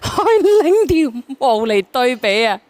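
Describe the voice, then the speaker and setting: Female call-in host, fairly high voice, blunt and teasing, informal, repeats herself. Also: sing-song, measured pace, no echo. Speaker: live call-in show host. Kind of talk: casual live call-in talk.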